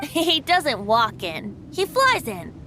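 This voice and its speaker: A woman voicing a whimsical, free-spirited young boy bard in an animated video game, light and boyish, with a lilting, poetic manner. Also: high-pitched, slow, slight background noise, heavily acted. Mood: breezy. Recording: music behind the voice.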